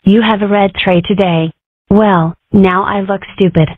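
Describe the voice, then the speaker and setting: A woman's voice: medium pitch, steady tone, unhurried, no echo, lightly acted. A woman voicing an opinionated, mischievous, sarcastic schoolgirl in a comic strip dub, wry and argumentative. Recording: muffled, phone-like narrow sound.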